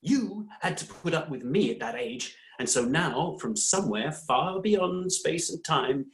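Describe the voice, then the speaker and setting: A middle-aged man playing a weary, exasperated doctor in a dramatic reading, with halting, stammering delivery. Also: mid-range voice, rough recording. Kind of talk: dramatic fan fiction reading.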